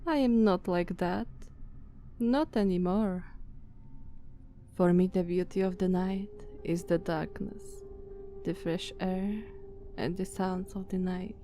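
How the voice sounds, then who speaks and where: A woman with a Slavic accent voicing a dominant, haughty female vampire master in a soft, commanding ASMR roleplay. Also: lowish voice, slight background noise. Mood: calm, imperious. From Slavic country. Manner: slow, soft, commanding, condescending.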